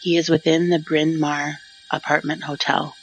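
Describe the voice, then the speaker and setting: A woman's voice: low-pitched, little pitch movement, moderate pace, soft background music, rough recording. A woman playing a solemn, mysterious fortune-telling queen, speaking formally and cryptically in an audio drama. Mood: cryptic, foreboding.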